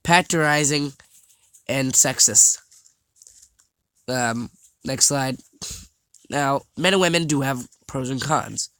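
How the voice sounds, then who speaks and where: A young man vlogging over slides, talking haltingly with many fillers and restarts, his voice a little scratchy and his nose stuffed up. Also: mid-range voice, bright, forceful tone, clear, resonant tone, dry recording. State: congested, slightly scratchy voice. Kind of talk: casual talk over slides.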